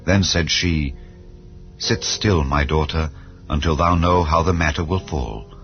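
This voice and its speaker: Very deep-voiced man reading scripture. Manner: solemn, measured reading of old-style scripture. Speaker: Bible reader. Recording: slightly dull with soft background music. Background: soft background music.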